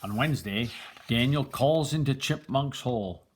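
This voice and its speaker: An older man reading a children's picture book aloud in a deliberate, expressive storytelling manner. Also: medium pitch, slight background noise.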